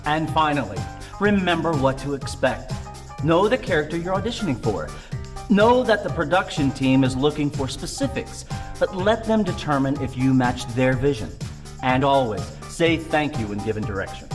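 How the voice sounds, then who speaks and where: A man's voice: on the high side, animated, normal speed, music in the background. A man with a Midwestern American accent, a co-host reading out scripted audition tips in short, list-like steps. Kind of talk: scripted instructional talk.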